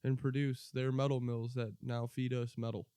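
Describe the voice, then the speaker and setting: A man's voice: medium pitch, level tone, normal speed, dark tone, smooth, no room sound, clean studio sound. An American man co-hosting a podcast, chatting casually about politics in a blunt, profane, opinionated way. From United States. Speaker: podcast co-host.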